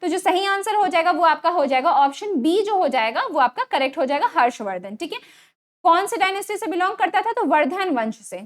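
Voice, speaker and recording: Very high-pitched Indian woman teaching. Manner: instructional, repeats key answers, frequent check-in tags. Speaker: online exam-prep teacher. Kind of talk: quiz lesson, reading questions and options then giving answers.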